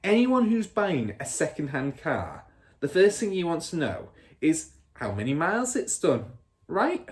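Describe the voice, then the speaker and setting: A man's voice: fairly high pitch, huge pitch swings, a little slow, voice pushed up. A man voicing a boastful, crooked middle-aged car dealer and rude father in a storybook, bragging and scornful with heavy emphasis. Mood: animated bragging.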